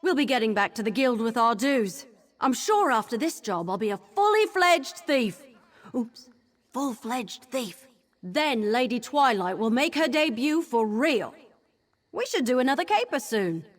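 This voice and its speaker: A woman's voice: on the high side, big pitch swings, unhurried. A woman voicing a cheeky, eager young apprentice thief in a video game, chatty, playful and bubbly. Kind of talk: scripted video game dialogue.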